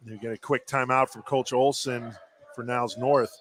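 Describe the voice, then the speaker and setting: A man's voice: mid-range voice, steady tone, normal speed, fairly bright tone. A man working as a color commentator on a basketball broadcast, reacting agreeably and analyzing plays conversationally.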